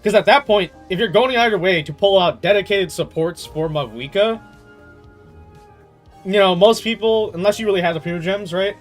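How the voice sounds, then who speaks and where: A man's voice: sits high, normal speed. A man streaming a game, chatting casually and rambling through his thoughts in slangy, stop-start talk with some swearing. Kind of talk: casual livestream chat, rambling gaming commentary. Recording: music playing behind the voice.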